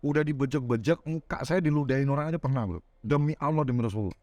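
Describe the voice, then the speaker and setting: A man in his thirties from Semarang with a Javanese-tinged Indonesian accent, telling his life story as a podcast guest, animated and laughing often. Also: mid-range voice, fast talker, dry recording. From Semarang, Central Java, Indonesia. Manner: animated, laughs often, keeps adding "Bro". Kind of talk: casual storytelling interview.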